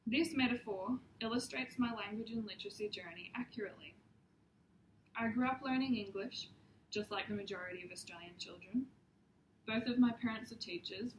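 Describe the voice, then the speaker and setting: A young woman reading a prepared class presentation in a measured, earnest way, with occasional ums and restarts. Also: mid-range voice. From Papua New Guinea. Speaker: student presenter. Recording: echoey room, faint background hiss.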